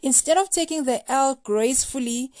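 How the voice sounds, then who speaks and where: A woman's voice: high-pitched, moderate pace, a little mellow, no echo, studio quality. A woman hosting a recap show, reading scripted commentary in a playful, gossipy, slangy way. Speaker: host of a reality TV recap show.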